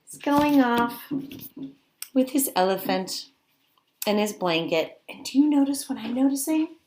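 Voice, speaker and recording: Female read-aloud teacher, higher-set voice, very measured pace, simple questioning asides for young listeners, slight noise on a homemade recording. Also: lively intonation, a little mellow. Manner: childlike-simple, questioning, exclaiming with gasps and asides. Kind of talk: picture-book read-aloud with questions and commentary.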